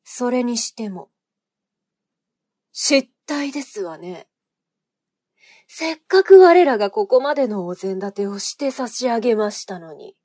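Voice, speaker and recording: A woman's voice: on the high side, lively intonation, a little slow, long pauses, mellow, no echo, clean studio sound. An actress playing a sinister, seductive woman agent in a period audio drama, taunting in courtly archaic phrasing. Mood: cold reproach. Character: sinister seductive noblewoman agent, archaic speech, mocking, menacing.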